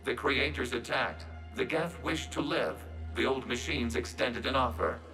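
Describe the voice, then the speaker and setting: An actor voicing a calm synthetic robot in a video game, formal and measured, speaking of itself as a collective. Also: male voice, medium pitch, soft background music. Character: calm male-voiced synthetic Geth robot, formal and logical.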